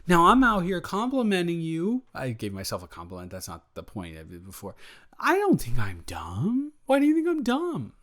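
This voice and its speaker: A man's voice: a little high, huge pitch swings, moderate pace, a bit bright. A man playing a sheepish, good-natured roommate in an improvised roleplay audio, talking casually with hesitant, stammering repeats. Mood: flustered, earnest. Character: sheepish, awkward, good-natured male roommate, secretly smitten.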